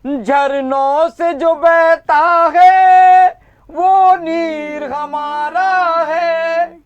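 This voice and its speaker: A man's voice: very high voice, fairly even intonation, extremely slow, dull audio. A man reciting patriotic verses at a poetry session, repeating each couplet and sometimes singing them in a melodic line. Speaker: poet.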